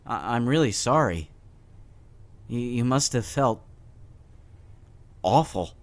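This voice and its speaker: A man playing an awkward, skeptical teenage boy in a comic dub, speaking haltingly with stammers. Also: medium pitch, animated, dry recording. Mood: awkward, sincere. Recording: noticeable background noise.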